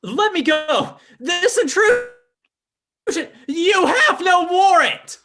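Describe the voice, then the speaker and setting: A man's voice: very high voice, sing-song, takes it slowly, full character voice. A man playing an indignant crooked spiritualist in an old-time radio drama. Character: indignant male fake spiritualist, caught and protesting.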